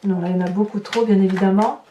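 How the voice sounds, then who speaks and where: A woman's voice: mid-range voice, fairly even intonation, fast talker, dark tone, a little hiss or hum. A woman giving an informal tarot reading, talking directly to viewers and thinking aloud with rhetorical questions and little tag words. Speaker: tarot reader hosting a horoscope channel. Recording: roomy echo, distant microphone.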